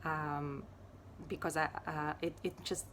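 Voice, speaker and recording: A woman from Ukraine speaks in a low, fairly flat voice, taking her time and pausing often with fillers. A faint hiss sits under the recording. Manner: unhurried and hesitant, many fillers. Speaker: yoga teacher and Ayurveda therapist. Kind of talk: casual personal talk to camera.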